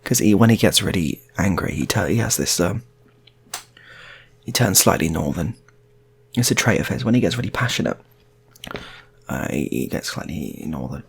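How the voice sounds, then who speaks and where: Male storyteller, gravelly, very animated pitch, casual, rambling, repetitive improvised narration. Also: normal speed, pauses often. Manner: rambling, repeats phrases.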